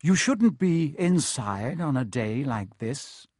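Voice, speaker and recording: Man acting a sinister male schoolmaster, smooth, deliberate, menacing, sardonic, close dry recording. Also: medium pitch, big pitch swings, darkish tone, dry recording. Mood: silky menace.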